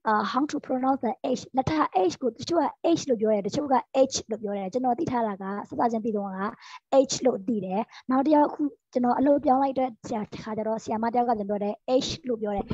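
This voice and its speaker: A woman's voice: a little high, mellow, husky, dry recording. A woman whose first language is Burmese asking a question in an online class, sounding unsure. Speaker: teacher attending a webinar. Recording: dull dry lo-fi call audio.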